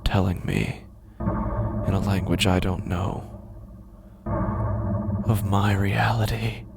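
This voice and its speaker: An actor playing a tormented man narrating his own unravelling in a horror audio drama, halting and intense, with a gravelly, breathy voice. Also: low-pitched, fairly even intonation, exaggerated voice. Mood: haunted, dazed. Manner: halting, fragmented, intense delivery. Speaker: actor in a horror anthology podcast. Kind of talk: dramatic first-person horror monologue. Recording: music under the voice. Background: prominent music.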